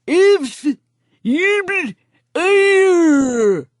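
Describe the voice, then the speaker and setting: A woman narrator putting on a silly ventriloquist voice, babbling slowly. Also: very animated, no echo, clean studio sound, heavily acted. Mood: mock solemn. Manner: slow, high, nonsense-sounding babble.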